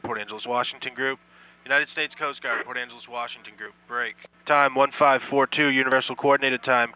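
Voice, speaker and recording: A man's voice: medium pitch, slight background noise. A man working as a coast guard radio operator, speaking in clipped, formal procedure over VHF radio. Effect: VHF marine radio. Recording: muffled VHF radio transmission.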